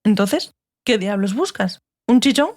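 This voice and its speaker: Fantasy audiobook sorceress, female actor: stern, dry, authoritative, mid-pitched with plenty of vocal fry. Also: lively intonation, measured pace, darkish tone. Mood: sharp, irritated. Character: stern, sardonic, commanding sorceress. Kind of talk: dramatized fantasy audiobook dialogue.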